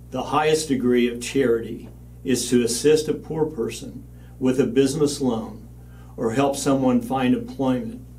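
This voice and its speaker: An older man giving an earnest, measured banquet speech as the leader of a mission organization, standing at a lectern. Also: mid-range voice, dark tone, far from the mic, poor audio. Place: studio with backdrop, at a lectern.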